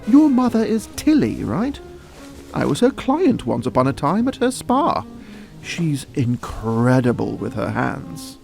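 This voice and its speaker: A middle-aged father in an audio drama, with a creaky, gravelly voice and a slow delivery. He is suave and provocative, swinging expressively in pitch as he needles. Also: huge pitch swings, a little mellow, faint music behind, exaggerated voice. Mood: suave, needling. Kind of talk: naturalistic audio drama acting.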